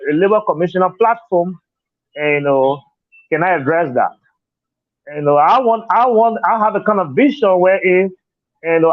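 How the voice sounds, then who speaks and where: A man's voice: fairly high pitch, no echo. Liberian man on a tinny phone line. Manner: earnest, repeats words, leans on "you know". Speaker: political candidate. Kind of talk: campaign interview answers.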